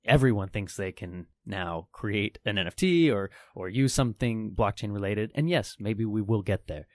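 A man's voice: fairly low pitch, moderate pace, darkish tone, dry recording. A man hosting a podcast interview, effusive and enthusiastic. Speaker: podcast host.

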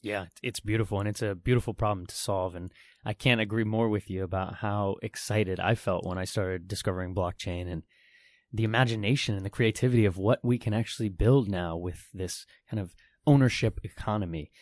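Enthusiastic guy hosting a podcast, kinda low voice, dry close-mic sound. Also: fairly quick, no room sound. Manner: enthusiastic, effusive. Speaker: podcast host. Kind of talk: podcast interview.

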